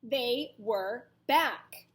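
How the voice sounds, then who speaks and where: A woman teacher reading a picture book aloud to children in a deliberate, dramatic way, pausing to ask them questions. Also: a little high. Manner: deliberate, dramatic storytelling delivery.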